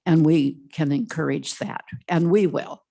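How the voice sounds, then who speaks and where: Slow, halting woman testifying at a hearing. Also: deep voice, creaky. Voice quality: loud voice. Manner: slow, halting, with many fillers. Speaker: health care association representative testifying. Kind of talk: committee testimony. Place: legislative committee hearing room.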